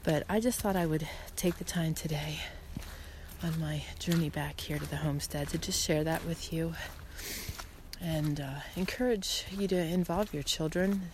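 A woman with a quite low-pitched voice talks casually as the host of a solo podcast. She is earnest and encouraging, breaking her thoughts into short phrases with pauses. Clear background noise runs under the recording. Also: fairly even intonation. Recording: clearly noisy background, some clicks.

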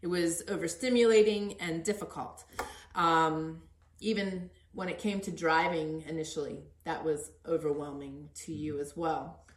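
Middle-aged female podcast host, lower register, casual and encouraging, roomy echoey sound. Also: steady tone, unhurried. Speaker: podcast host interviewing her son. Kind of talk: casual conversational interview.

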